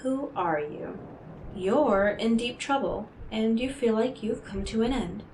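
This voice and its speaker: Woman doing a mysterious devil lady for an audio roleplay, unhurried and coy, teasing, condescending, roomy echo. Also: on the high side, a little mellow, a little hiss or hum, lightly acted. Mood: teasing, commanding. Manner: coy, deliberate, theatrical, talking directly to the listener. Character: enigmatic devilish female stranger offering deals, smooth, teasing, condescending. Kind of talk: scripted roleplay audio drama monologue.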